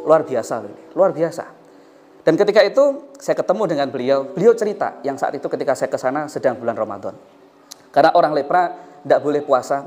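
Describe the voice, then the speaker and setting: A man's voice: mid-range voice, darkish tone. Javanese-accented male preacher over music. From Indonesia. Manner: emphatic, repeats phrases and asks rhetorical questions. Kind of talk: storytelling sermon.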